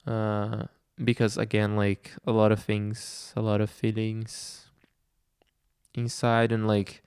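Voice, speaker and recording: Man, slow unhurried talk, very clear dry voice, hesitant with fillers. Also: lowish voice, monotone, darkish tone, no echo. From Brazil. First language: Portuguese. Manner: hesitant, many fillers and restarts. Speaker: vlogger. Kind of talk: reflective personal talk.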